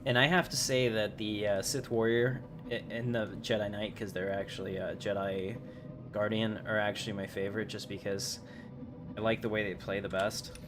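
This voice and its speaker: A man's voice: lowish voice, flat delivery, moderate pace, music in the background. A man giving casual, chatty game livestream commentary, rambling along in slangy talk. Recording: clearly noisy livestream audio.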